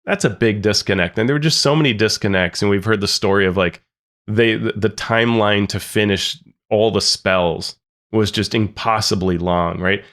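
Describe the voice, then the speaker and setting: Earnest man with a low voice. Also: moderate pace, fairly bright tone. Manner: earnest, critical, many restarts. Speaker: podcast co-host. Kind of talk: casual discussion.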